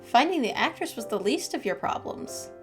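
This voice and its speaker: Female game streamer, slightly high voice, casual and sympathetic, loud music underneath. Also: big pitch swings, normal speed. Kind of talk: casual playthrough commentary.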